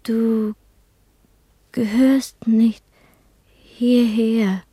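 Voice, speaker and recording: An actress playing a traumatized, childlike young woman in a trance, speaking breathily in halting fragments in a radio drama. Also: fairly high pitch, level tone, warm tone, no room sound, heavily acted. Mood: dazed, frightened. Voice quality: breathy, half-whispered. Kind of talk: 1970s German radio drama acting.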